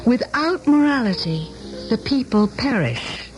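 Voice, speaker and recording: Radio play: proud, earnest middle-aged lady, formal diction, highly animated delivery, music underneath. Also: a little slow, poor audio. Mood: earnest, wistful. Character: proud, wistful older former student confronting her teacher. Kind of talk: radio drama acting. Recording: old radio drama broadcast, music behind.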